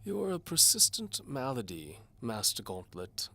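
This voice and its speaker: A man's voice: medium pitch, wildly swooping pitch, unhurried, bright, forceful tone, voice pushed up, full character voice. A man voicing a doddering, pompous old duke and amateur alchemist in an audio drama, fussy and formal. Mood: grudging. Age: older. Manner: pompous, formal, hesitant blustering. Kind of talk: audio drama character acting.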